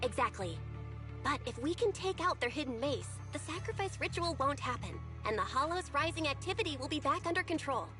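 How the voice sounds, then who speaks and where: A woman's voice: high voice, lively intonation, brisk, over music. An actress playing an earnest young female envoy in a video game, polite and urgent as she explains.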